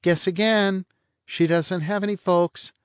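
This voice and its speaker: A man's voice: high voice, fairly even intonation, unhurried, smooth, no echo, clean studio sound, pitched above the performer's own voice. A man voicing a languid, teasing blonde woman with a lazy, sardonic drawl. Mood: mocking.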